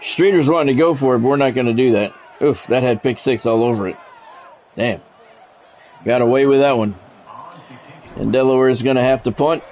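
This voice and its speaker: A man doing measured, broadcast-style football play-by-play over a video game, in clipped phrases with casual gamer asides. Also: medium pitch, muffled recording, slight background noise.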